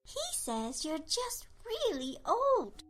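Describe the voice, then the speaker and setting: Woman voicing a high-pitched, frank little girl. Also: sing-song, takes it slowly, exaggerated voice. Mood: innocently frank. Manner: innocent, matter-of-fact. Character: pale, calm, frank little girl with bunny.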